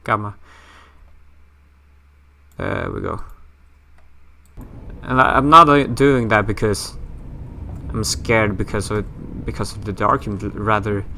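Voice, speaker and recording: A man's voice: lowish voice, fairly even intonation, slow, vocal fry, long pauses, pushed and bright. A man from Sweden with a Swedish accent, rambling and joking his way through a horror game let's play. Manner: rambling, self-mocking, swears casually. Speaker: gaming YouTuber.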